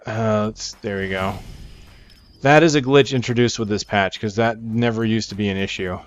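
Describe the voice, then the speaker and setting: A man's voice: lowish voice, moderate pace, clean tone, highs cut off. A man giving casual, unscripted gameplay commentary as he presents a game, rambling and thinking aloud with game music behind him. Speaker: gamer presenting a game preview.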